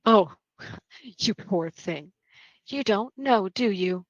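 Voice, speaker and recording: A woman playing a mad, taunting young female killer in a horror table read, mocking and drawn-out. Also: medium pitch, expressive, no echo, dull audio. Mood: gleeful, mocking. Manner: mocking, drawn-out, punctuated with laughter.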